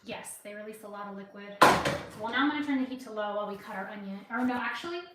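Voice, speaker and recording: A woman's voice: medium pitch, animated, quick pace. A woman hosting a casual cooking livestream from her home kitchen, chatting, laughing often, and sniffling from a runny nose. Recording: echoey kitchen, far from mic, background noise.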